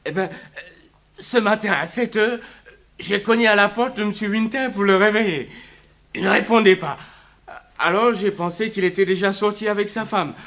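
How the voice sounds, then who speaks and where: A very high, bright male voice as a humble hotel shoeshine man in a 1960s radio drama. He is excitable and indignant, pleading and protesting in a wailing manner. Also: moderate pace. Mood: frightened, protesting. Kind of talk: 1960s French radio crime drama acting.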